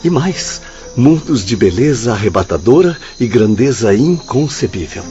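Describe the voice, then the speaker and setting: Man as reverent spirit mentor, low mellow voice, measured, reassuring, lofty radio drama style, soft music, insects. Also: big pitch swings, lightly acted. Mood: reverent, encouraging. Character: kindly, reverent spirit mentor encouraging his brother. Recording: dull lo-fi audio. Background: soft music and night insects.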